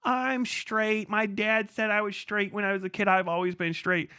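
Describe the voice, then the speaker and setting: A man's voice: high-pitched, level tone, moderate pace, bright, forceful tone, clear, resonant tone, no echo, clean studio sound. A man voicing a defensive woman who keeps insisting she is straight, in a raised, protesting tone.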